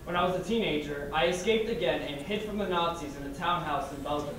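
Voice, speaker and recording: A man acting on stage as a survivor, reciting his lines in a measured way. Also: on the high side, lightly acted. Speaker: stage actor playing a survivor. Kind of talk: staged theatrical narration. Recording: echoey hall from far off, with hiss.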